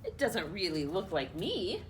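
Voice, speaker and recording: A woman's voice: fairly low pitch, wildly swooping pitch, unhurried, a little hiss or hum. A woman reading the lines of a puzzled male friend in a picture book, in a plain, questioning way.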